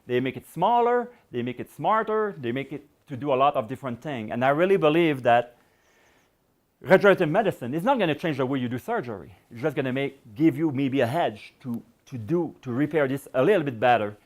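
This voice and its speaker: A middle-aged man giving a public science lecture and taking questions, talking in a loose, rambling way with many fillers, his voice going hoarse. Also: mid-range voice, lively intonation, a little fast. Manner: rambling, many "you know" fillers and restarts.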